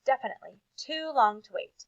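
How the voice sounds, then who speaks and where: A woman voicing a bold, mischievous schoolgirl in a children's storybook, loud and excited. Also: fairly high pitch, a little fast, highs cut off. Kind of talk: children's storybook read-aloud.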